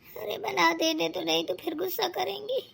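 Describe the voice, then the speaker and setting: Woman playing a very high-voiced, suffering young daughter-in-law. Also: fairly even intonation, normal speed, creaky, a bit bright, exaggerated voice. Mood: weary, resigned. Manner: plaintive, complaining, dutiful. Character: put-upon, suffering young daughter-in-law, meek and resentful. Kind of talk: Hindi family cartoon melodrama.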